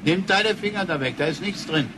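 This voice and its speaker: An actor playing a gruff, irritable, old-fashioned grandfather in a sci-fi radio drama, blunt and grumbling. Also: high voice, fairly quick, noisy background, lightly acted. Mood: curt.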